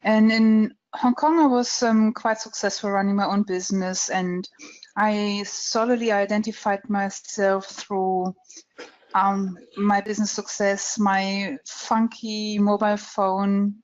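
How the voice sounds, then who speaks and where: A woman's voice: mid-range voice, little pitch movement, slow pace, a little mellow. Frank German-accented woman, muffled webinar. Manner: frank, laughs easily, many ums. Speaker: trader, interview guest. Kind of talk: conversational interview storytelling.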